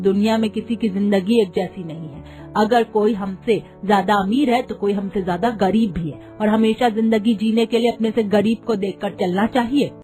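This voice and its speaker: A woman voicing a wise, patient poor mother-in-law who gently moralizes in a cartoon story. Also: medium pitch, natural voice.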